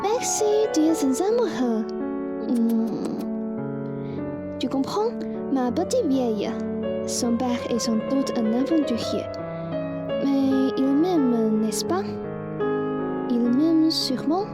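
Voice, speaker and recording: A woman's voice: high-pitched, lively intonation, slow pace, long pauses, over loud music. A woman playing an anxious, dreamy, lovesick rich young woman in a student radio play. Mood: pensive.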